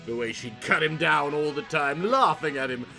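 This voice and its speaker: A man's voice: fairly high pitch, animated, normal speed, gravelly, over music. A man narrating a comic story in a theatrical, mock-serious audiobook style.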